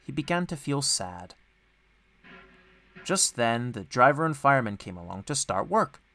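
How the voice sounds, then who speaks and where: A man's voice: medium pitch, sing-song, unhurried, creaky, bright, forceful tone, soft background music. A man reading scripted children's storybook narration as an audiobook narrator.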